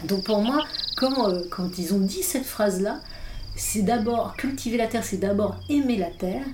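A woman's voice: medium pitch, moderate pace, noisy background. A woman whose first language is English, a philosopher speaking as a guest in an interview, thoughtful and full of hesitations and restarts. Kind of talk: reflective interview talk. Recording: roomy echo.

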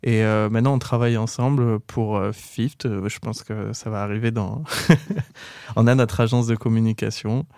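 A man's voice: on the low side, moderate pace, clear, resonant tone, no room sound, clean studio sound. A man in his twenties from southern France with a southern French accent, a podcast guest chatting casually and laughing often. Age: 20s. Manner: laughs often, relaxed and joking. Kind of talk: casual interview chat.

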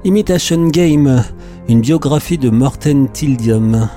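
A man's voice: medium pitch, slow pace, dark tone, soft background music. A man presenting a radio media column, reading a script with opinionated, informal asides.

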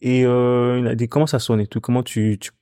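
A young man from Ghana with a Ghanaian accent, a music artist telling his story in a relaxed, casual chat, with lots of fillers and repeated phrases. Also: medium pitch, fairly quick, clear, resonant tone, no room sound, studio quality. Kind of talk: casual conversation.

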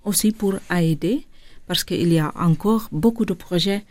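A hesitant woman with a Flemish accent, her voice fairly low. She speaks slowly, pausing to search for words. The studio sound is dry and close. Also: a little mellow, dry recording. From India, raised in Flanders. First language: Dutch. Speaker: guest, charity director. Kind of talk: radio interview.

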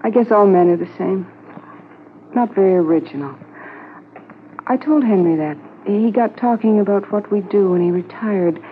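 A woman's voice: mid-range voice, normal speed, lightly acted. A woman playing a wistful, anxious but affectionate policeman's wife in a reflective, conversational 1950s radio drama style. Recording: muffled noisy 1950s radio transcription.

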